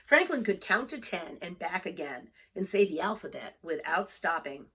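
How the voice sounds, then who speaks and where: A woman reading a picture book aloud for young children, expressive and deliberate, with storytelling emphasis. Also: lowish voice, background noise. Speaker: storybook reader.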